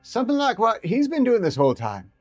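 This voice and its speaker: Man playing a shrill ferret. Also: huge pitch swings, fairly quick, no room sound, soft background music, heavily acted. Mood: gruff, defensive. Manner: brash, loud, slangy, swears. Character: foul-mouthed female talking ferret, fiercely loyal.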